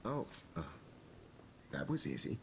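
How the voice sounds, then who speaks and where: Encouraging art teacher, low voice, swooping intonation, a bit pretentious, measured and hesitant, video game dialogue. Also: male voice, big pitch swings, slight background noise, full character voice. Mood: flattered, encouraging. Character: smooth adult male photography teacher, encouraging, pretentious.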